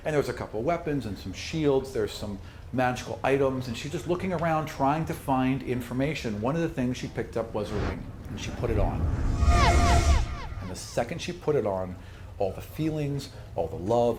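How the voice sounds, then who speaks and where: A man's voice: medium pitch, a little mellow. A man narrating a tabletop game as game master in a conversational, emphatic way. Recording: echoey room with background noise.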